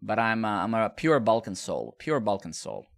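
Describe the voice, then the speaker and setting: A man's voice: fairly low pitch, very animated, moderate pace, gravelly. A middle-aged man from Serbia, rambling and swearing casually while coding live and chatting with viewers. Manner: rambling, profane, talks to chat while coding.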